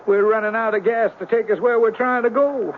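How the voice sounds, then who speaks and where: An American actor playing a weary young sidekick, folksy, hesitant and deferential, in old-time radio drama style. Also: male voice, sits high, steady tone, a little fast. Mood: weary, apologetic. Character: plain-spoken, weary young male sidekick of a swindler. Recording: noisy, tinny vintage radio broadcast.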